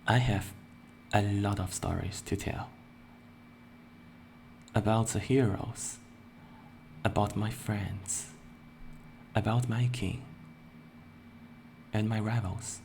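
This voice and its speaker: Slow, low-voiced male streamer. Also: vocal fry, a little hiss or hum. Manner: slow and deliberate, with playful excited bursts. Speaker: virtual streamer. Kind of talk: casual self-introduction and Q&A.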